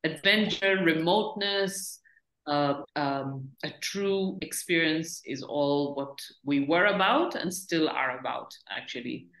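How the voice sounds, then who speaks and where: A middle-aged woman, co-founder of a tour company, talking on a video call with relaxed, run-on phrasing. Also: sits low, slow pace. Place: room at home. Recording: roomy video call audio.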